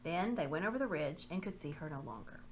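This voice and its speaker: A woman reading a children's novel aloud in a lively, expressive way. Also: deep voice, fast, a little hiss or hum. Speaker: reader.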